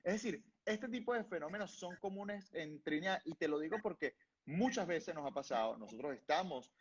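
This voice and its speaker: A man's voice: a little high, animated, moderate pace, husky, lo-fi sound. A man hosting an online interview, asking long winding questions full of fillers and restarts. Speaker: host.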